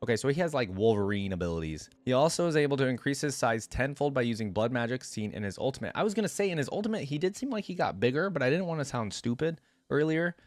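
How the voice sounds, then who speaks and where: A man's voice: medium pitch, sing-song, fast talker, few pauses, no room sound. A man hosting a reaction video, reading lore aloud and adding informal, think-aloud commentary.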